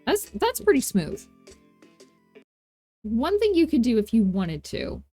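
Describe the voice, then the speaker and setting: A woman hosting a casual, unscripted software tutorial, talking in a playful, folksy way with informal grammar and self-deprecating asides. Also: fairly high pitch, sing-song, slow pace, soft background music. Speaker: tutorial host.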